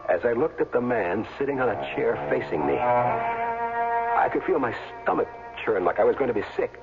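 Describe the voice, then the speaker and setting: A man acting a wry, curious ex-football player who narrates and talks in a casual way in a 1970s radio drama. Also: mid-range voice. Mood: tense, horrified. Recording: tinny vintage radio over music.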